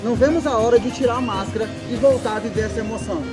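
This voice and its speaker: A man from Parnaíba, the head of a dance group giving a steady, earnest testimonial. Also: very high voice, racing. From Parnaíba, Brazil. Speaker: cultural group president. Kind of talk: scripted testimonial. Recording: loud music under the voice.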